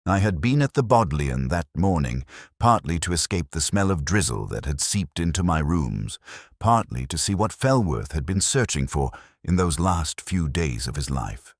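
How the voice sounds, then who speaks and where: A man narrating a scripted first-person detective story in measured, literary phrasing. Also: a bass voice, very animated, dry recording, studio quality.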